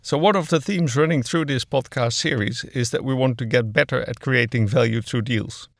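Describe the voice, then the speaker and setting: A man's voice: medium pitch, normal speed, creaky, no echo. A man whose first language is Dutch, hosting a studio podcast and asking polite, structured questions. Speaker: podcast host. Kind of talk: hosted podcast interview.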